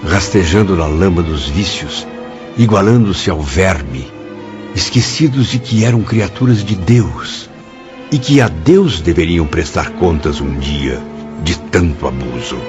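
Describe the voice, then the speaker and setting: A Brazilian shaken male spirit recounts grim memories in a radio drama. His deep voice moves expressively and solemnly, slightly muffled, over clear music. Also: animated, a little slow. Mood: grave. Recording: band-limited, music under the voice. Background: dramatic background music.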